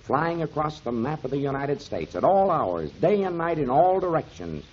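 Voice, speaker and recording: Kindly, animated old-time radio storyteller. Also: male voice, a little high, normal speed, slightly rough. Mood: enthusiastic explaining. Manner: avuncular, explanatory, emphatic. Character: kindly grown man, storytelling mentor to children, pipe smoker. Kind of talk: 1930s radio acting. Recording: muffled 1930s radio recording.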